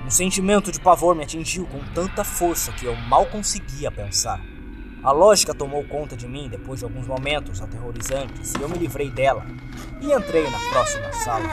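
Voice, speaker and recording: A man with a Brazilian accent reading a scripted first-person horror story with dramatic delivery, as narrator. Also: fairly high pitch. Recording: background music under the voice. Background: music.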